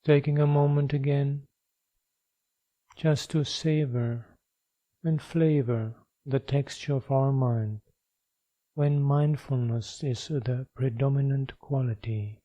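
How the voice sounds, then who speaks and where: A man leading a guided meditation as a teacher, speaking in a measured, instructional way with long pauses. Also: medium pitch, mellow.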